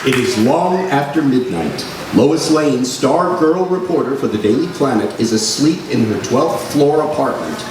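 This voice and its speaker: A man's voice: medium pitch, very animated, measured pace, few pauses. Man playing a theatrical old-time radio announcer, distant and echoing. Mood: suspenseful, eerie. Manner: theatrical, suspenseful, declamatory. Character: dramatic male announcer-narrator of a superhero radio serial. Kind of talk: 1940s radio acting, scripted narration. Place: large room, live radio show recreation. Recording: roomy, noisy live stage recording from afar.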